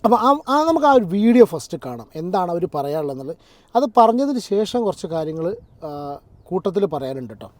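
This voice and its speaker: A man with a fairly high yet soft-edged voice talks casually to his online audience in a dry recording. He wanders between asides, repeating and rewording phrases as a reaction commentator. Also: big pitch swings, fast, dry recording. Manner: conversational, digressive, repeats and rephrases himself.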